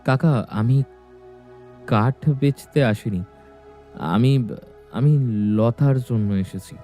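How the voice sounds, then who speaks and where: A man's voice: medium pitch, takes it slowly, mellow, faint music behind, lightly acted. A man playing an earnest, proud, poor young woodcutter in love in a cartoon, often pleading.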